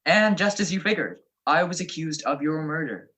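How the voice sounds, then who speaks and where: A confident radio-drama sleuth, played by a man, witty and wry. The pitch is lively and varied as he sets out his reasoning in an old-time detective style. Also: moderate pace. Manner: wry, explaining deductions with cool confidence. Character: witty, self-assured male private detective.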